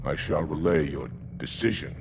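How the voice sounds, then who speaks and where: An actor playing a stern, dutiful alien military commander in a video game, speaking formally. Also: male voice, very deep voice, normal speed, vocal fry, background noise. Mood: restrained concern. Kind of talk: theatrical video game acting. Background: cinematic music.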